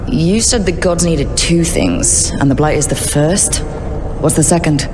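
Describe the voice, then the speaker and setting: Game hero: a direct, plain-spoken elven scout, voiced by an actor, mid-range voice, clear music underneath. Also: medium pitch, animated, a little slow, lightly acted. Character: young elven scout and rebel, blunt and action-minded. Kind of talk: video game cutscene acting.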